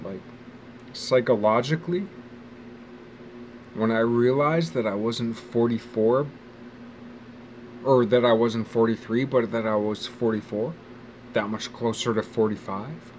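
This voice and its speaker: A man's voice: medium pitch, steady tone, a little slow, long pauses, a little hiss or hum. Musing, profane man in his forties. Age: 40s. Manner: casual, profane, wry, musing aloud. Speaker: vlogger. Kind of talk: rambling personal monologue. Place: outdoors near a bench.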